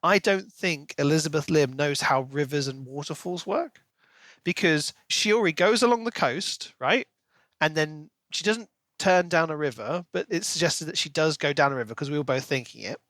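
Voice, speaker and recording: A British man with a fairly high, very bright voice, recorded close and dry. He talks as a podcast co-host, picking a story apart in a casual, questioning manner. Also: normal speed, no echo. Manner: analytical, questioning. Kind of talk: casual book discussion.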